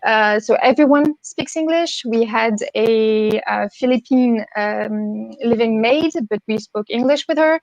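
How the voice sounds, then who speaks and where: Young french woman, english not her first language, lots of ums and restarts, highish voice. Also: a little slow, few pauses, no echo, dull audio. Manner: hesitant, many fillers and restarts, laughs often. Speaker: guest. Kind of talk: casual conversation.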